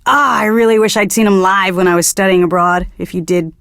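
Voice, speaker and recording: A pretentious young indie radio DJ for a video game. She delivers song patter with earnest, condescending self-importance at a middling pitch. The sound is very dry and close. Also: fairly even intonation, fairly quick, vocal fry, a bit bright, clear, resonant tone, no room sound. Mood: earnest, smug. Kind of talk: radio DJ patter between songs.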